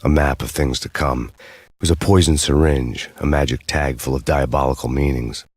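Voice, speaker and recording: A deep, brooding noir cop. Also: male voice, moderate pace, a little hiss or hum. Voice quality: deep, flat, world-weary. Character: grim hard-boiled male cop, middle-aged, haunted. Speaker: detective narrator. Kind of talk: noir voice-over narration.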